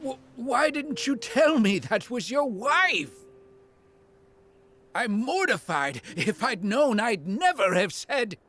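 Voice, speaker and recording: Fussy demon sidekick for a game, high voice all over the place, prim, excitable. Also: male voice, slow, full character voice. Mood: mortified. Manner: flustered, prim, indignant. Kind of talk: video game voice acting.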